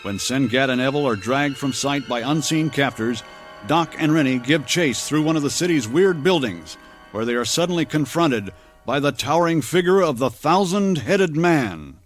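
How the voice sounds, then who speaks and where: A man's voice: medium pitch, expressive, normal speed. Theatrical male radio serial announcer over music. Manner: theatrical, declamatory. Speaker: announcer and narrator. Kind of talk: dramatic old-time radio serial narration. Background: dramatic music.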